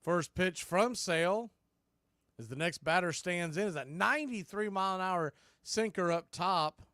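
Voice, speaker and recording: An American man with a high voice does baseball play-by-play in a dry, close sound. He speaks in short, punchy calls and drops in conversational asides between pitches. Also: normal speed, a bit bright, no room sound. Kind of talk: live radio play-by-play commentary.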